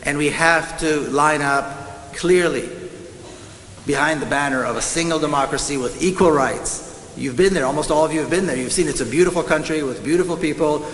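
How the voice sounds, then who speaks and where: A middle-aged man with an Israeli accent giving an impassioned conference speech, slowing down to hammer home key words. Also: fairly high pitch, a bit bright. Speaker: speaker at a conference. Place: large conference hall. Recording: echoey hall with faint hiss.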